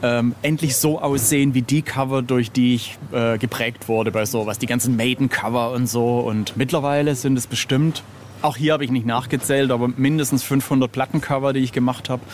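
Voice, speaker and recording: A man's voice: mid-range voice, steady tone, a little fast, slight background noise. A middle-aged man chatting casually as an interview guest, hesitant and self-ironic, often searching for his words. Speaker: interview guest, illustrator of record covers.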